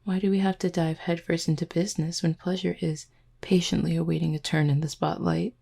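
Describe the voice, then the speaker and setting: A woman acts out a flirtatious werewolf pack beta in an intimate audio drama. Her voice sits low and dark, with plenty of gravelly fry. She talks in a confident, teasing way, as if to one person. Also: level tone, a little fast. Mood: playful, teasing. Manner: teasing, flirtatious, smooth-talking.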